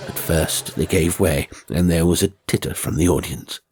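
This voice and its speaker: A man reading a horror audiobook as narrator, with deliberate, suspenseful, dramatic storytelling. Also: low-pitched. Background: music and sound effects.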